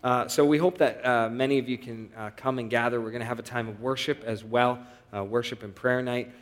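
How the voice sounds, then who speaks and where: A man preaching a sermon and giving announcements to his congregation in a relaxed, conversational way with frequent filler pauses. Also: mid-range voice, long reverb tail. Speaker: pastor. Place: church sanctuary.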